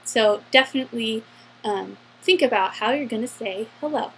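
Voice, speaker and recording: A woman's voice: on the high side, normal speed, fairly bright tone, breathy edge, low-quality recording. A young adult woman giving casual, upbeat advice in a chatty vlog style, stretching words for emphasis. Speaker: vlogger giving interview advice.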